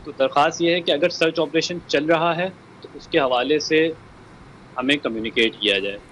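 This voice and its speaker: A man giving a measured account and appeal as an interviewed relative, dropping in English words. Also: fairly high pitch, fairly bright tone, low-quality recording. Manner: code-switches into English words. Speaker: bereaved relative being interviewed. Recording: faint background noise.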